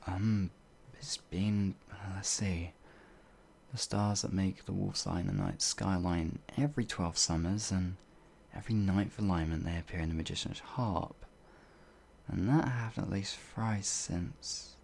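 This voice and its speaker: An actor playing a bewildered, humble werewolf outlaw in an ASMR fantasy roleplay, with halting, old-fashioned speech and a husky voice. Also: sits low, expressive, a little mellow, a little hiss or hum, exaggerated voice. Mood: confused. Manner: halting, flustered, pleading, old-fashioned wording.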